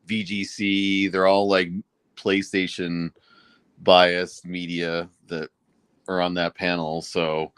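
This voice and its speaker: Deep-voiced man in a casual chat. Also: little pitch movement, takes it slowly, fairly bright tone, clear, resonant tone, dry recording. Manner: laid-back, talks things through with lots of "like". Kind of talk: casual gaming podcast chat.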